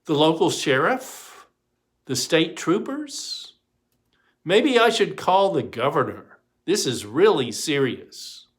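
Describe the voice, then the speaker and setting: A man's voice: a little high, sing-song, slow. A man voicing an exasperated, anxious small-town mayor in a storybook reading, with an indignant, hesitant manner.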